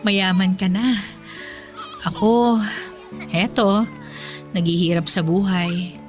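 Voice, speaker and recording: A woman's voice: medium pitch, natural voice. Woman acting remorseful, downtrodden Filipino woman. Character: Liza Fe, remorseful impoverished former friend, a waitress. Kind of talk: Filipino radio drama acting.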